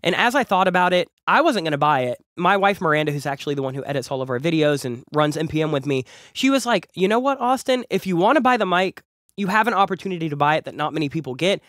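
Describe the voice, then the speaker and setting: A young man talks quickly and eagerly through a gear review, doubling words when he gets excited. His voice is a little nasal from a cold and sits fairly high for a man. The sound is close and dry, like a treated home studio. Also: no room sound. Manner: enthusiastic, chatty, repeats words for emphasis. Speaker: music producer and YouTube host. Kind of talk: casual gear review talk. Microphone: large studio condenser close up.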